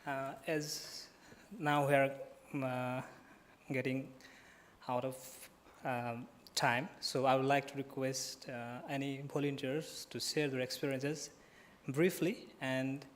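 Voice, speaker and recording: A man hosting an event, making informal stage announcements in a hesitant, halting way. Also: medium pitch, level tone. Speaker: event host. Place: indoor event room. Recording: long echoing hall reverb.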